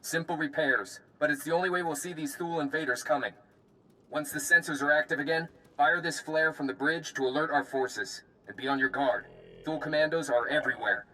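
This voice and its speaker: A man's voice: on the high side, a little fast, sharp tone, low-quality recording. An actor playing a harried, blunt male army officer in a video game. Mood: relieved, businesslike. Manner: urgent, blunt.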